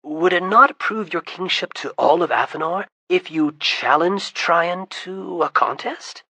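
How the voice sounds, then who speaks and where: Storybook wizard, calm and courtly, voiced by the narrator, bright tone with a slightly breathy edge. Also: medium pitch, a little slow, dry recording, pitched above the performer's own voice. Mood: flattering. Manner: composed, courteous, persuasive. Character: calm, smooth-talking adult wizard adventurer.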